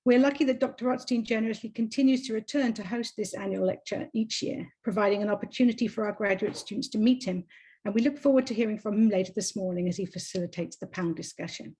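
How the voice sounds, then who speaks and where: A woman's voice: medium pitch, fast talker, warm tone, breathy edge, poor audio. A woman hosting an academic event, reading a scripted introduction. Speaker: event host introducing speakers.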